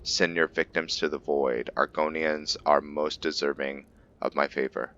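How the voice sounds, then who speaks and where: Very deep-voiced gamer musing aloud. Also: male voice, level tone, unhurried, a bit bright, dull audio. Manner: thinking aloud, musing and self-correcting. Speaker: gamer narrating a roleplay playthrough. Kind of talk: casual let's-play commentary.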